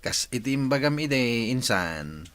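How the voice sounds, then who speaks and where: An Ilocano village man in a radio comedy-drama. He is cheerful, teasing and earnest in love. His medium-pitched voice swings up and down with lots of animation. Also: big pitch swings, unhurried, a bit bright, no echo. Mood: teasing, amused.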